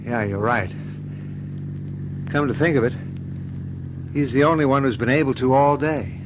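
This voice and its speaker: An actor playing a puzzled, subdued husband in a radio mystery drama, low-key and unsure. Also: male voice, moderate pace, close to an everyday voice. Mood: distracted, doubtful. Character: puzzled, subdued husband driving through a strange ordeal. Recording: radio drama with car noise behind.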